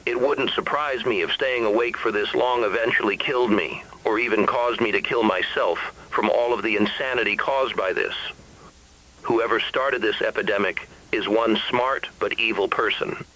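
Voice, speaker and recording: Brooding, sleepless man acting a despairing survivor, bright-edged voice. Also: fairly low pitch, normal speed, rough recording. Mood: bitter, grim. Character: weary, despairing man isolated by a sleepless epidemic. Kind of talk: confessional recorded monologue in an analog horror audio drama.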